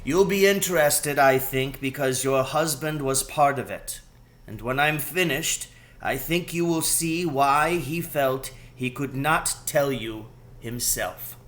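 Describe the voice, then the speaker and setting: A man voicing a wise, courteous rat leader in a storybook, explaining things in an unhurried, deliberate way. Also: mid-range voice, a bit bright. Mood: calm, explanatory. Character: wise, courteous male rat leader telling his history.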